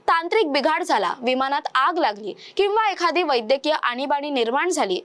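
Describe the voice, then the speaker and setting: High-voiced woman reading the news. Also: a bit bright. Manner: measured, formal newsreader delivery. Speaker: news presenter. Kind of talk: scripted news narration.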